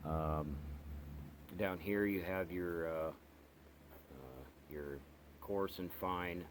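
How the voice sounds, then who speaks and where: A man casually walking through the features of a radio he is reviewing, explaining step by step with many pauses and restarts. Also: deep voice, noisy background. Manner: halting, lots of ums and restarts, explains step by step. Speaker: radio hobbyist reviewing gear. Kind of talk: casual product walkthrough.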